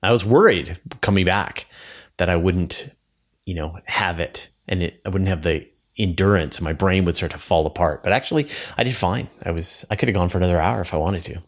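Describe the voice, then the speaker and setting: A man's voice: low-pitched, a little fast. A man hosting a podcast in casual, conversational, unscripted talk. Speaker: podcast host.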